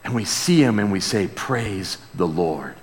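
A man's voice: low voice, animated, a little slow. Guy preaching in a big echoey hall, takes his time, reverent and emphatic. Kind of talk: sermon with scripture reading and call-and-response. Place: large church hall before a congregation. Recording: reverberant large hall, amplified live sermon.

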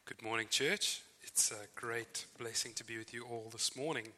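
A man's voice: medium pitch, fairly even intonation, normal speed, pushed and bright. A man with a Zimbabwean accent, preaching a sermon as a visiting speaker in a friendly, chatty way with fillers and asides. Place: church hall. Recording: church hall reverb.

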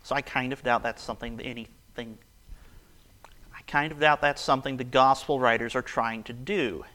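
A man preaching a casual, conversational sermon, his voice raspy and hoarse from a cold. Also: medium pitch, steady tone, normal speed, bright, forceful tone.

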